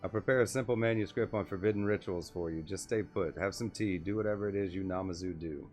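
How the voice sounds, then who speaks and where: A man's voice: sits low, quick pace, a little mellow, soft background music, close to an everyday voice. A man voicing a crabby, intimidating old female sorcerer from a fantasy game, dry, haughty and wordy.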